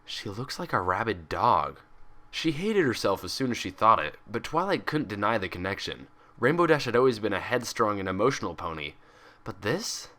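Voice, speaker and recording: A man's voice: fairly low pitch, sing-song, a little fast, a bit bright. A man reading a story aloud as a scripted narration. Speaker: fan fiction reader and host.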